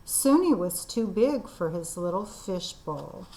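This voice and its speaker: Slow expressive woman reading aloud. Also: lowish voice, poor audio. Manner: expressive, deliberate. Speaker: kindergarten teacher. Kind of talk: storybook read-aloud.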